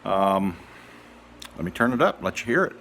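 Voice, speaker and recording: A man giving a casual, unscripted demonstration as a hobbyist restorer, speaking haltingly with many pauses. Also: low-pitched, very animated, creaky, fairly bright tone, a little hiss or hum. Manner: halting, hesitant, with trailing pauses.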